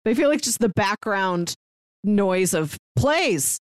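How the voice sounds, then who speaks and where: A woman's voice: medium pitch, lively intonation, takes it slowly, dry recording, studio quality. A woman co-hosting a podcast in a casual, conversational film review chat. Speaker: podcast co-host.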